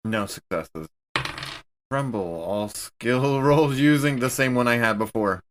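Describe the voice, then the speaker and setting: A man's voice: medium pitch, unhurried. A man talking casually as a player in a tabletop role-playing game.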